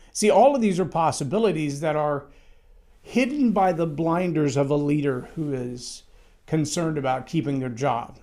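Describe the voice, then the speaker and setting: A man with a loud voice giving a conversational, emphatic solo talk on leadership, with frequent restarts. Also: a little high. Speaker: leadership speaker.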